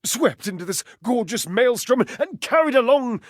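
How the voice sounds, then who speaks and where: A man playing a flamboyant, flirtatious, theatrical living appliance in a dating game, gushing with pet names and drawn-out words. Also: very high-pitched, big pitch swings, no echo, clean studio sound, heavily acted. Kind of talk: dating-game character voice acting.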